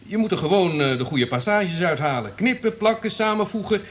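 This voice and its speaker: Smug radio executive, swooping intonation. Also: male voice, sits high, animated, a little fast. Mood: cynical, pleased. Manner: self-satisfied, calculating. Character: smug, cynical male radio network executive. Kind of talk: Dutch radio play acting.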